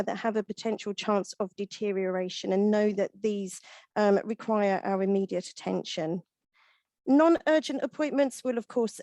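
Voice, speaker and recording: A husky-voiced woman in her thirties, leading an online webinar. Her tone is mellow and soft-edged, and the sound is close and dry. She explains at an even pace and runs through lists with the odd "um". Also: medium pitch, no echo. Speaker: webinar presenter and veterinary nurse. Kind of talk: presenting a product webinar.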